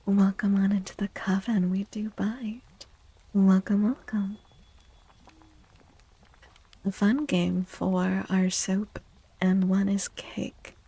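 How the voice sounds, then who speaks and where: A woman hosts an ASMR livestream in a very soft, breathy voice that often slips toward a whisper. Her delivery is slow and gentle, with a creaky edge and a mellow tone. She sounds close to the microphone as she chats. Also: mid-range voice, little pitch movement. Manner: gentle, unhurried, greeting chatters. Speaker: livestream host, VTuber. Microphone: close microphone, dry.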